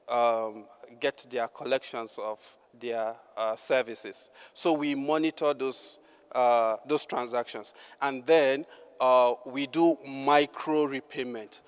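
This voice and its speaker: A man presenting a startup, answering judges' questions in a measured way with many fillers. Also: mid-range voice, clean tone. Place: large hall. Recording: long hall reverb.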